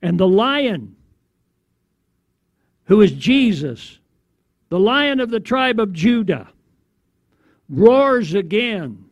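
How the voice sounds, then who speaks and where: Guy preaching a prophetic vision, high voice that swings up and down a lot, short phrases w pauses. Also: big pitch swings, no room sound, highs cut off. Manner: declamatory, slow short phrases with deliberate pauses. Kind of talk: prophetic sermon narration.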